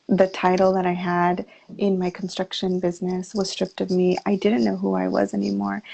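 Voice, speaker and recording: A woman from the United States with a gravelly, mellow voice tells her story in an earnest, reflective way. She is heard over a video call. The audio is dull and lo-fi. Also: mid-range voice. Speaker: guest, health coach. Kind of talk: interview storytelling.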